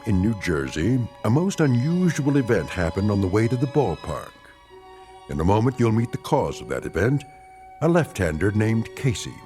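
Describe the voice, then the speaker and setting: A man giving measured, ominous scripted narration for a radio drama. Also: fairly low pitch, darkish tone.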